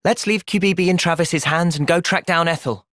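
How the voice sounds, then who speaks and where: An actor voicing an earnest, thoughtful young male soldier and leader in a video game, with an English accent and a measured delivery. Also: a little high, fairly bright tone, dry recording, studio quality, natural voice. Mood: reflective. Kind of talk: video game cutscene acting.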